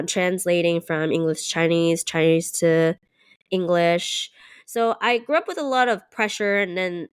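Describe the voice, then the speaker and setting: A woman's voice: on the low side, clear, resonant tone, dry recording, studio quality. A young adult woman co-hosting a podcast, telling personal stories in a reflective, casual way. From United States. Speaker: podcast co-host. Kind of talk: casual chat.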